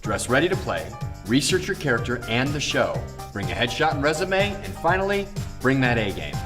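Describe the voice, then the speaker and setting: A male co-host running briskly through a recap of the main points. His voice is mid-pitched and lively in its rise and fall, and loud background music plays under him. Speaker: co-host wrapping up the episode. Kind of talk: scripted recap.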